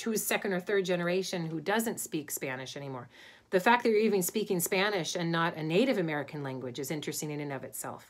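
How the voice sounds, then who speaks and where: A middle-aged woman, an Egyptologist, lecturing informally to a webcam from her home study, thoughtful and pausing with many ums and restarts. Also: lowish voice. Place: home study with bookshelves, indoors.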